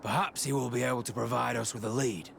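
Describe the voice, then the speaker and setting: A stern gravelly captain, formal, serious. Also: male voice, medium pitch, steady tone, fast talker, a bit bright, slight background noise. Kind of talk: video game voice acting.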